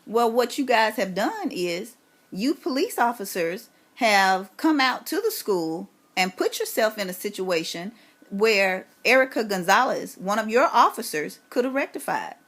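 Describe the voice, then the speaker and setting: A woman's voice: mid-range voice, very animated, unhurried, fairly bright tone. An aggrieved woman making a long complaint on a phone call, speaking insistently and emphatically. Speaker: caller, parent making a complaint.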